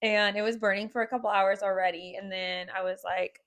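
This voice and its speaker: Casting: young woman, mid-pitched with heavy vocal fry, teasing casual chat. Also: fairly even intonation, brisk, fairly bright tone. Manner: teasing, laughs easily. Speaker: podcast co-host.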